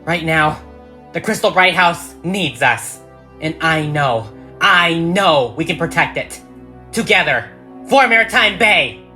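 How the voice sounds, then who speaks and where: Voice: mid-range voice, animated, takes it slowly, pushed and bright, soft background music, pitched above the performer's own voice. A narrator voicing a brave, earnest young female cartoon pony leader with an emphatic, rallying manner. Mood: determined, rallying.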